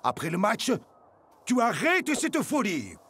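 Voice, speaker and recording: A man playing a stern, principled coach in an animated cartoon, with emphatic delivery. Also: high-pitched, slow, background noise, full character voice. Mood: hesitant.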